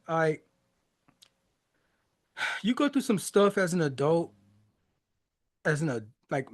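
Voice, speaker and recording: A man in his forties, born in Haiti and raised in the United States, talking casually and reflectively as a podcast host, laughing often. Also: on the high side. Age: 40s. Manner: laughs often, loose and conversational, many restarts and fillers. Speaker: music reaction podcast host.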